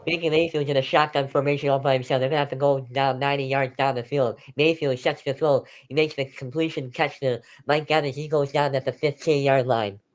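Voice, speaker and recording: A man's voice: mid-range voice, fairly even intonation, a little fast, few pauses. A man hosting an amateur live football stream, calling the plays in a conversational, informal way. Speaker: play-by-play host of an online sports stream.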